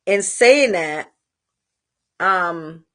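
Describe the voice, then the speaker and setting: A woman with a Trinidadian accent hosting a live talk show, speaking candidly and rambling with pauses to find her thread. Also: fairly low pitch, animated, fairly bright tone. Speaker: host. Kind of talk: casual livestream monologue.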